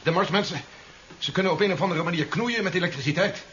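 A wary, earnest general played by a man in an old radio drama. His voice is a little high and insistent, on a dull, noisy recording. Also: quick pace, lightly acted. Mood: ominous. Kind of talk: 1970s Dutch radio drama acting.

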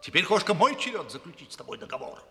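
An actor playing a gruff, threatening dog with a husky voice in a fairy-tale audio play. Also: male voice, high-pitched, sing-song, fast, pushed and bright, exaggerated voice. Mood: stern, threatening. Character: gruff, threatening male dog bargaining sternly. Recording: long hall-like reverb.

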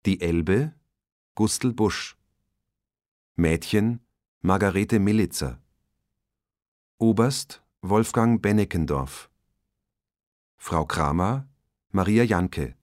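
A male radio announcer speaks in a low voice. His reading is slow, formal and measured, in the manner of 1940s broadcasting. The sound is dry. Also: dry recording.

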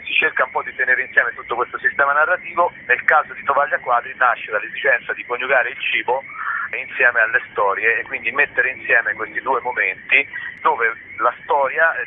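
A man speaking as an interview guest, explaining at length in a discursive way and pausing to search for words. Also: mid-range voice. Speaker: theatre director, interview guest. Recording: narrow tinny phone line, clear background noise.

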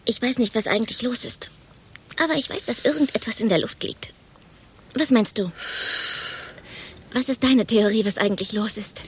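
An actress playing a chatty, overexcited office gossip in a radio play, telling things in a dramatic, gushing way. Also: female voice, fairly high pitch, moderate pace, pauses often, breathy edge. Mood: conspiratorial, uneasy. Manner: dramatic, gushing storyteller, mimics quoted exchanges.

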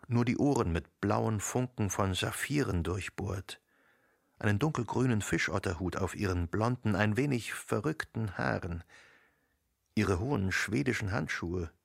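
A man reading literary narration for a radio drama in a measured storytelling manner. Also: lowish voice, creaky, no room sound, clean studio sound. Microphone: close studio microphone.

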